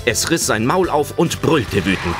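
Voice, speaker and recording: A man's voice: medium pitch, very animated, moderate pace, lightly acted. A man as the dramatic storyteller of a German children's adventure audio drama, reading scripted narration. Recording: loud music under the narration.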